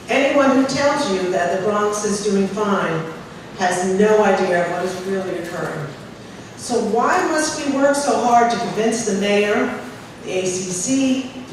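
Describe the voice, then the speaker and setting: A woman, an animal rescuer, reading a prepared public statement in an earnest, urgent way, recorded in a large meeting hall. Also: medium pitch. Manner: earnest, urgent, pressing with figures. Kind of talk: prepared statement read aloud. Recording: echoey hall from far off, faint noise.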